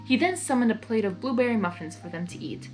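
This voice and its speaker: A woman with a fairly high, very animated voice narrates fan fiction as a storyteller. Faint music plays behind her reading. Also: quick pace. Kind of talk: expressive story narration with spontaneous asides.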